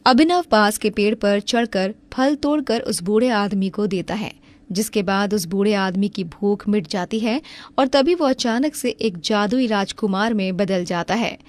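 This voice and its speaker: A woman's voice: medium pitch, measured pace, a little mellow. A woman reading scripted narration for a cartoon story.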